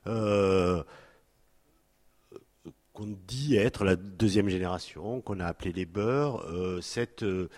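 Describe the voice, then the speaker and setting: A man in his fifties from France, speaking at a panel in a halting way with many fillers and restarts. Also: sits low, a little mellow. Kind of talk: hesitant spoken talk at a public panel. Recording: long hall reverb.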